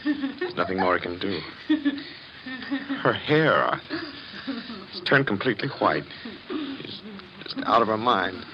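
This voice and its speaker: A man's voice: fairly low pitch, huge pitch swings, a little slow, long pauses, crowd noise. A man acting in a vintage radio drama as a grave man explaining a grim tragedy. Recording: dull, crackly vintage radio recording.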